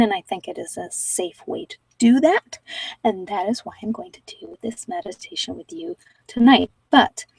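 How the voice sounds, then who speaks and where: A woman talking informally and unscripted on an ASMR meditation channel, thinking aloud in a hesitant, reflective, rambling way with pauses. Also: mid-range voice, vocal fry, darkish tone, husky, dry recording, recorded from a distance, poor audio. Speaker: meditation guide and former social worker.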